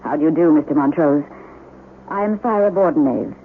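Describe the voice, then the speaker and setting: An actress playing a mysterious, genteel lady of a remote mansion in a 1970s radio drama, formal, measured and enigmatic. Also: deep voice, expressive. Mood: gracious, eerie. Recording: tinny, hissy 1970s radio broadcast.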